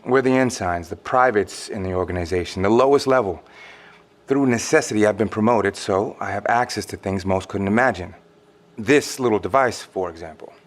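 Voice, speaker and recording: An actor playing a cagey, unflappable middle-aged man with secret knowledge, speaking in a measured, dry audio drama delivery. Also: fairly low pitch, close to an everyday voice. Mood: knowing, assured. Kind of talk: naturalistic audio drama acting.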